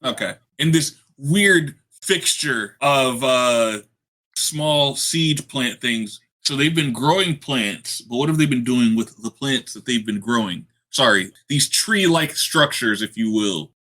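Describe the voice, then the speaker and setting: A man's voice: medium pitch, expressive, slow pace, sharp tone. A man playing a tabletop game, chatting casually in a wry, theatrical way. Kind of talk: casual in-character game chat.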